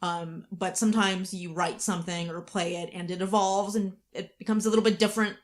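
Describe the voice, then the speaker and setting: A woman's voice: fairly low pitch, fairly even intonation, a little fast. A woman chatting as a podcast co-host, actor and writer, reflective and explanatory in manner. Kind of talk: behind-the-scenes podcast chat.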